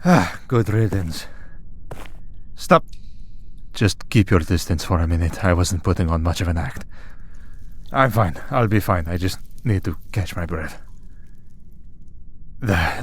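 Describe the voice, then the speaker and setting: A man playing a weary, devout and gentle vampire hunter priest in an ASMR roleplay, speaking in a measured, solemn way with pauses. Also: low-pitched. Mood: breathless, weary. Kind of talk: ASMR roleplay audio drama.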